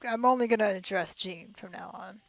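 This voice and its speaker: A woman streams a game in a mid-pitched voice, chatting casually with playful, wry asides. The sound is a little dull, with soft music underneath. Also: fairly quick, vocal fry. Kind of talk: casual live game playthrough commentary. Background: faint game music.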